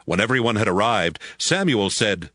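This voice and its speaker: A man narrating a dramatized audio Bible in a measured, expressive storytelling manner. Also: fairly low pitch, no room sound, clean studio sound. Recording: faint music behind the voice.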